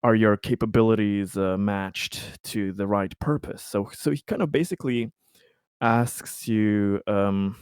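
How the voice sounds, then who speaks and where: A man with a fairly low, smooth voice plays a tabletop game, speaking slowly and haltingly as he feels out each phrase. The sound is close and dry. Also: darkish tone, no room sound. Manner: halting, deliberate, tentative phrasing. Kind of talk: casual in-game roleplay chat.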